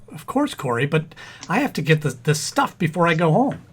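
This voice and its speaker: A man's voice: fairly high pitch, very animated, brisk, husky. A man playing a flustered, stammering, eager expectant father in an old-time radio comedy. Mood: proudly deluded. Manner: stammering, repeats words when flustered.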